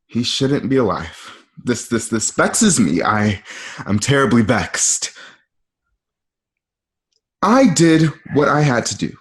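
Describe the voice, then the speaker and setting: A man playing a vexed, insecure young Roman emperor in an amateur radio drama, theatrical and halting. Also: mid-range voice, very animated. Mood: brooding, vexed.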